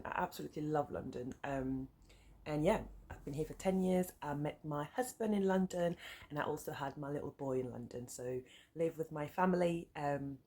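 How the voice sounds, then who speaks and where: A woman's voice: low-pitched, a little mellow. A woman in her thirties, French her first language, speaking English with a French accent, chatting casually and rambling with lots of "um"s and side notes as a sewing vlogger. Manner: rambling, easily sidetracked, many fillers. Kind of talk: casual chat to camera. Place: flat beside a busy road. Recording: faint traffic noise behind.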